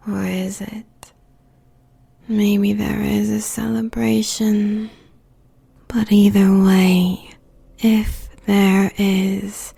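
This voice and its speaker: A woman playing a seductive, possessive demon queen succubus in an intimate roleplay monologue, whispering breathily with deliberate, sensual pauses. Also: mid-range voice, gravelly, warm tone, slightly rough, heavily acted. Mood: disdainful, possessive.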